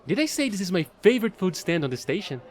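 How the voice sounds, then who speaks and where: A man playing a chatty, excitable fallen alien angel who trades in space, gushing and rambling in an audio roleplay. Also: sits high, fast. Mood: eager, friendly. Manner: bubbly, gushing, rambling, apologetic.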